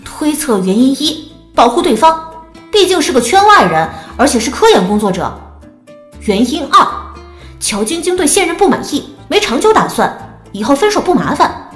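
A woman playing a chatty young fan who posts on a gossip forum, with playful, expressive delivery. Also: sits high, moderate pace. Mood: curious, speculative. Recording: echoey room effect with music.

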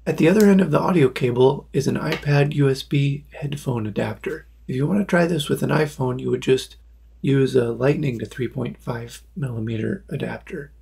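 Male tutorial presenter, forties, very husky and breathy with some creak, plain explanatory delivery. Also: mid-range voice, dark tone. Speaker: video maker presenting a tutorial.

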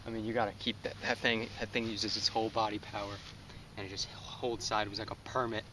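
A young man gushes to his camera, breathless and excited. His voice sits a little low, and it is picked up from several steps away by the camera mic. Plenty of outdoor noise hangs behind him. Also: quick pace, distant mic. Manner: breathless, excited, laughing often. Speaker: fishing vlogger. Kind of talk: excited outdoor fishing commentary. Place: outdoors on a pond bank. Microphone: camera on a tripod some distance away.

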